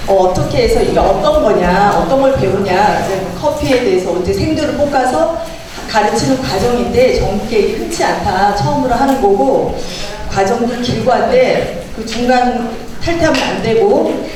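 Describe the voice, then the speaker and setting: A woman's voice: on the high side, animated, moderate pace. A middle-aged woman with a Gangwon Korean accent, chatting as a guest speaker about a local club and telling her story with many fillers. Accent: Gangwon Korean dialect. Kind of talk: casual talk to a gathering. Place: community meeting room. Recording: echoey, distant, noisy room.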